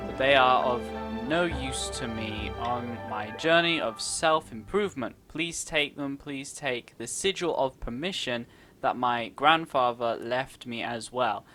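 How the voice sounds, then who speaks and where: A playful streamer talks through a game at an unhurried pace. The commentary is casual and sarcastic, switching to reading text aloud. Game music is clear behind the voice. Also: mid-range voice, a bit bright. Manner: giggly, playful, sarcastic asides. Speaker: gaming streamer.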